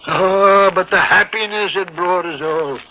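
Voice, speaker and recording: A man's voice: sits high, a little slow. An actor playing a miserly old man, shaken and repentant, in 1950s radio drama style. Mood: wistful, regretful. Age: older. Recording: noisy, dull 1950s radio broadcast.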